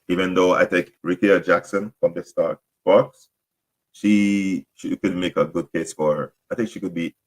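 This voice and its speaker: Deep-voiced man, French and Haitian Creole first languages. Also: husky, rough recording. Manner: rambling, self-correcting, apologetic. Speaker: podcast host. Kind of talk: casual solo sports talk.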